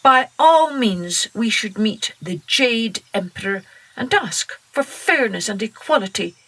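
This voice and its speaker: A woman voicing the oldest and wisest duck, who speaks slowly and firmly. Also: a little high, lively intonation, a bit bright, heavily acted, rough recording. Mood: resolute.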